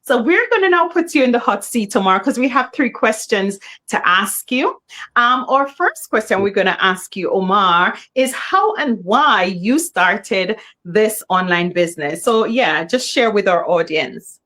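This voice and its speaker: A woman's voice: medium pitch, expressive, a little slow, bright, forceful tone. A middle-aged woman, hosting a live online business talk in an upbeat, welcoming way. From Jamaica. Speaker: host.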